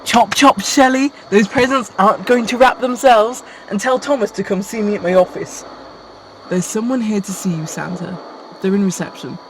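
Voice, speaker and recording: A woman's voice: mid-range voice, moderate pace, natural voice. Woman acting bossy, sarcastic workshop supervisor, noisy recording. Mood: brisk. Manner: scolding, exasperated. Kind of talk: radio play acting.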